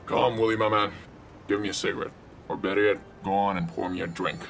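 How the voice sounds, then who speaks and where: A man's voice: lowish voice, steady tone, quick pace, vocal fry, fairly bright tone, slight background noise. A man playing the crude, mocking bad side of a young man's mind, who begs for cigarettes and drink and teases everyone. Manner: teasing, cocky, laughs at others.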